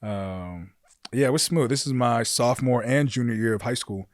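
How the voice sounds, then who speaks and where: A young man from California, a pro football player, chatting casually as a podcast guest in a relaxed, easygoing way. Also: mid-range voice, moderate pace, no echo, clean studio sound. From California, USA. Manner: relaxed, easygoing storytelling with frequent fillers. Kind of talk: casual interview chat. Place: dark studio with red lighting. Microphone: studio mic on boom arm.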